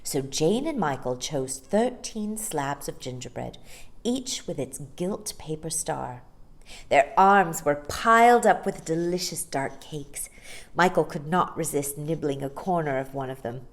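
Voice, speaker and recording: A woman reading a storybook aloud in a theatrical, deliberate, expressive narrating style. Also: low voice. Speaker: storytime reader.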